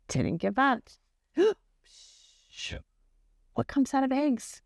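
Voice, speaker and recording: A woman school principal reading a storybook aloud to children in an expressive, questioning way. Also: high voice, drawn-out words, gravelly, no room sound, studio quality. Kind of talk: read-aloud storytelling with asides and questions to young listeners.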